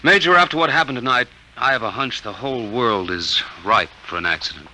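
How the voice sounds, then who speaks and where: An actor playing an earnest, deferential young psychology instructor in a 1950s radio drama, speaking politely. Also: male voice, mid-range voice, a little fast, bright, forceful tone, rough recording. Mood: uneasy. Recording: hissy vintage 1950 radio recording.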